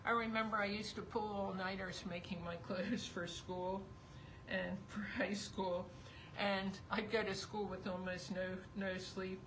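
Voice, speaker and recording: A woman's voice: medium pitch, a bit bright. Middle-aged woman, sewing instructor, voice going, rambling live-demo chat, noisy recording. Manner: chatty, rambling, thinking aloud. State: losing her voice. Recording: noisy background.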